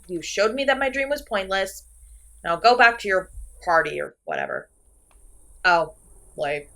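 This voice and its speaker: A woman's voice: mid-range voice, a bit bright. A woman playing an insecure, petulant, chatty slasher killer in a comedic horror audio roleplay, theatrical and exasperated, swinging between sulky and manic. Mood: sulky, sheepish.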